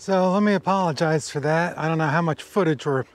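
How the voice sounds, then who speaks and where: A man's voice: fairly high pitch, a little fast, noisy background. Musing man sawing logs outdoors. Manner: musing, talks to himself, admiring asides. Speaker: sawmill operator. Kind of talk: casual running commentary while working. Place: outdoors at a sawmill in a wooded yard. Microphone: action camera on the mill.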